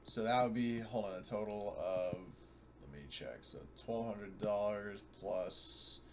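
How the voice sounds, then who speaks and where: A man's voice: on the low side, unhurried. A man playing a tabletop game, chatting casually and hesitantly as he thinks aloud. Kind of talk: casual chat. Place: online voice call. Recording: noisy online voice chat.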